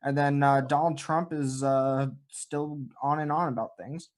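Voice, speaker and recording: A man from the United States hosting a casual talk show, with halting phrasing. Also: fairly high pitch, little pitch movement.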